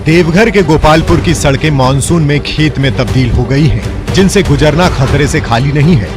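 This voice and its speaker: A man's voice: a little high, moderate pace, over music. A man reading scripted news narration as a voice-over reporter in a formal manner.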